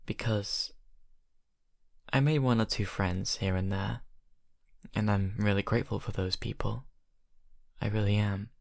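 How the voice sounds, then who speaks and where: A young man acting a shy college vampire who confides haltingly and softly to his best friend in an ASMR roleplay. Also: fairly low pitch, fairly even intonation, vocal fry, no room sound, studio quality. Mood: wistful, hurt. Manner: hesitant, halting, soft-spoken confiding. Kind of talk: ASMR roleplay, intimate improvised monologue.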